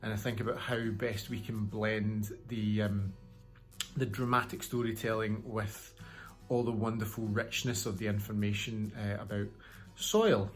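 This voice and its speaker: A man with a fairly low voice speaks as a sound designer explaining his process. Light music plays behind him as he talks. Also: little pitch movement, measured pace. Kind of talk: explaining his work to camera.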